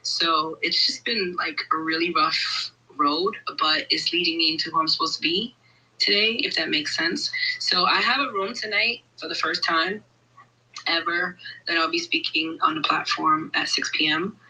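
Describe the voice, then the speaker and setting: A woman from Brooklyn, calling in to share her personal testimony, eager and halting. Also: deep voice, steady tone, pushed and bright, breathy edge, poor audio. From Brooklyn, New York. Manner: eager, halting, many fillers. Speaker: guest caller.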